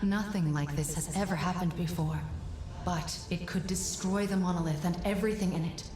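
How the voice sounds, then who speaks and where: A woman playing a grave, resolute guide in a video game, explaining things in an earnest, measured way. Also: on the low side, fairly even intonation, natural voice. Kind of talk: dramatic video game cutscene acting. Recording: echoing room under prominent music. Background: music.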